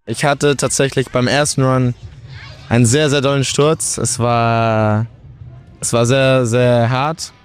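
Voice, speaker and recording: A man's voice: medium pitch, slow pace, slight background noise. A nineteen-year-old man, raised in Portugal, speaking in an interview about skating with frequent restarts. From Germany, raised in Portugal. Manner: relaxed, hesitant with restarts. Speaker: skateboarder.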